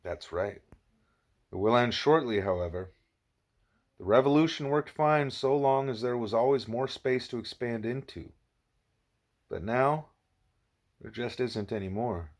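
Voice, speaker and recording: A man reading the part of a wise, patient gorilla teacher in an audiobook, explaining in a measured, didactic way. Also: medium pitch, natural voice. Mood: patient, instructive.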